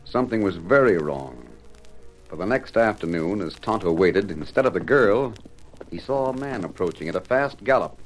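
Deep radio narrator over music. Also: male voice, animated, normal speed, rough recording. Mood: ominous. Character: grave, suspenseful radio western narrator. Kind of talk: old-time radio scripted narration.

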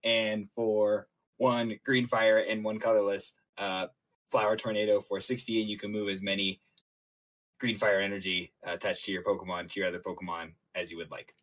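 A man's voice: on the low side, little pitch movement, moderate pace, a bit bright, rough recording. A man co-hosting a casual podcast, joking and reading out details with lots of fillers. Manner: jokey, reads out stats with many fillers. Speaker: podcast co-host and intern.

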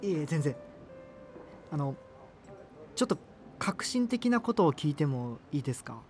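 A man's voice: a little high, sing-song, a little slow, a little mellow, long reverb tail. An actor playing an earnest, self-conscious male university student obsessed with subculture in a radio drama. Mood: awkward, earnest. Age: young adult. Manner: hesitant, self-conscious, apologetic. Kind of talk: radio drama dialogue and inner narration. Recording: music behind the voice. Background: music.

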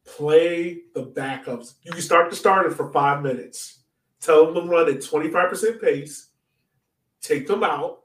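Roomy, slightly distant male podcast co-host, mid-pitched, informal and slangy, trading sports opinions. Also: measured pace, a bit bright. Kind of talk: casual soccer banter and questions. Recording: echoey room, off the mic.

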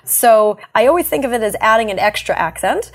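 A woman with a light New York accent speaks as an accent coach in an interview. She explains her points in a measured, thoughtful way, restarting a phrase now and then. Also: fairly high pitch, animated. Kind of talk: podcast interview answers.

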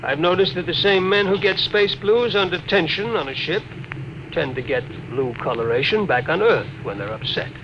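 A sceptical, worried ship's doctor in classic science fiction radio. His voice sits a little high and moves up and down a lot, with theatrical, wry emphasis. The old recording is clearly noisy. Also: animated, normal speed. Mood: concerned. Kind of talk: old-time radio drama acting.

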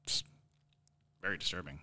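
A man co-hosting a casual podcast, speaking in deliberate, halting phrases. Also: pushed and bright. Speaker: podcast co-host, quiz contestant.

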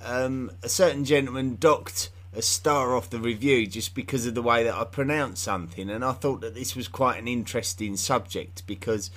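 A man's voice: mid-range voice, moderate pace, hardly any pauses, a bit bright. A man from England with an English accent, hosting a history podcast in an unscripted, rambling monologue full of fillers and restarts.

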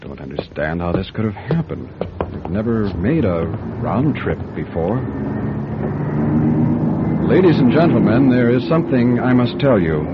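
A man acting in a radio drama as a courteous, formal and hesitant bus driver to the afterlife. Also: low-pitched. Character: polite, apologetic bus driver ferrying passengers to the afterlife. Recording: dull, noisy old radio drama recording.

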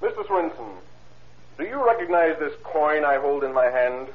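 An actor playing a formal, methodical prosecutor questioning witnesses in an old-time radio courtroom drama. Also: male voice, fairly high pitch, moderate pace, close to an everyday voice. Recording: tinny, band-limited vintage radio recording.

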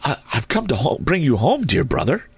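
An eager little girl as a male narrator puts her on, pitched far up from his own voice. She is affectionate and repeats her words in childlike excitement, as in a storybook told aloud. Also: normal speed, creaky, breathy edge, no echo, heavily acted. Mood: joyful.

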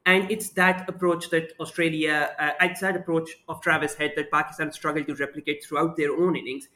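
A man's voice: fairly high pitch, little pitch movement, a little fast, pushed and bright. A man on a podcast as a guest sports writer, speaking articulately with some restarts and self-deprecating humor. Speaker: cricket sub-editor guest. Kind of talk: casual podcast chat.

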